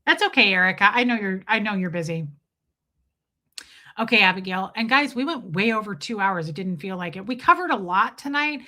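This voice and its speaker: A woman's voice: mid-range voice, expressive, fairly quick, sharp tone. A woman with a Southern accent, hosting a casual livestream and chatting with viewers by name. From Southern US, near Nashville. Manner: chatty, answers viewers by name, frequent restarts. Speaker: livestream host. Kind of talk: casual live chat reading comments.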